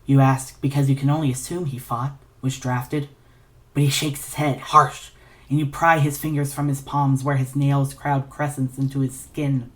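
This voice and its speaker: Low-voiced woman, intense dramatic narration. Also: level tone, moderate pace. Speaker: audiobook-style reader of fan fiction. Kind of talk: dramatic literary narration in second person.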